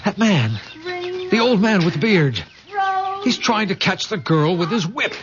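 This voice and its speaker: Animated, impulsive young man. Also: high-pitched, measured pace. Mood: astonished. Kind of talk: old-time radio drama acting. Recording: muffled, very noisy vintage radio recording.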